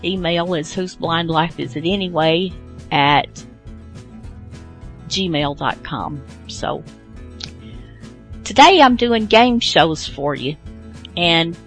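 A woman's voice: deep voice, a bit bright. A woman hosting a podcast, giving a casual, rambling introduction in an informal way. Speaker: podcast host.

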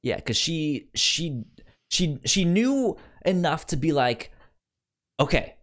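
A man co-hosting a podcast in a casual book discussion, speaking haltingly and often repeating sounds and words as he goes. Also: a little high, big pitch swings, a bit bright. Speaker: podcast co-host.

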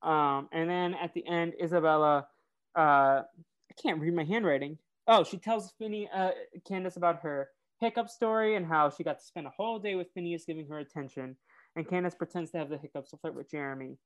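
A woman's voice: low voice, a little fast. A woman with a nasal voice co-hosting a podcast, recapping casually and chattily, slipping into quoted lines as she tells it. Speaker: podcast co-host. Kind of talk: casual episode recap and chat.

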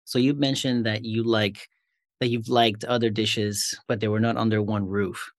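A man's voice: on the low side, little pitch movement, normal speed, no echo, clean studio sound. A man hosting a local community interview show, asking questions in an upbeat way with brief acknowledgments. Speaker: podcast host.

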